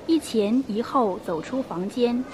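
A woman's voice: fairly high pitch, unhurried, background noise. A woman reading scripted narration for a radio drama as its storyteller.

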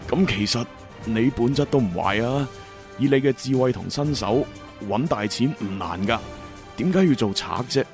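An actor playing an earnest, anxious captive police officer, pleading in a radio drama. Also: male voice, medium pitch, expressive, moderate pace, a little mellow, faint music behind, natural voice.

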